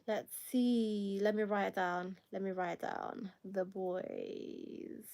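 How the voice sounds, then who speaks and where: A woman with a Kenyan accent hosting a casual livestream chat, rambling and correcting herself with frequent laughter, sounding a bit tired. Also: medium pitch. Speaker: livestream host.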